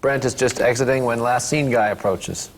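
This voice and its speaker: A man's voice: medium pitch, moderate pace. A man reading stage directions and narration aloud at a sitcom script read-through. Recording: long hall reverb, faint room noise.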